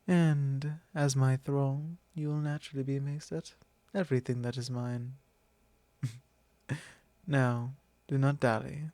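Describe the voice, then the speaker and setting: Man playing a smug, gravelly vampire lord. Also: mid-range voice, dry recording. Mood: smug, possessive. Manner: formal, unhurried, condescending, with soft chuckles. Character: possessive, smug, courtly male vampire lord. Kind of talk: ASMR roleplay, intimate monologue.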